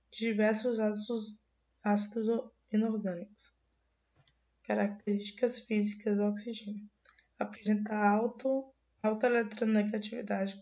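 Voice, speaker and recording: A hoarse young woman with a cold gives a student class presentation, her voice dark and mellow. She reads steadily from slides in a flat, even tone, often restarting words. The recording is dull and lo-fi. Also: mid-range voice. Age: young adult. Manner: reading slides with frequent restarts.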